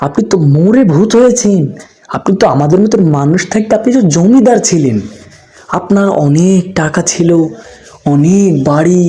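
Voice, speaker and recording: A man's voice: high-pitched, normal speed, dark tone, close to an everyday voice. Man playing a sly villager with muted tone. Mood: flattering, earnest. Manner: ingratiating, wheedling, rambling. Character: sly, flattering poor village man tricking a ghost. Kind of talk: cartoon voice acting.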